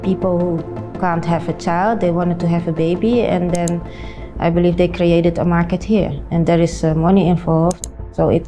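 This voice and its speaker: A woman in her forties gives a personal account in a low-set, soft-edged voice. Her English has a Dutch accent and comes haltingly, with uneven grammar. Faint music plays underneath. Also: little pitch movement. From Netherlands. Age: 40s. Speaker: interviewee, non-profit founder.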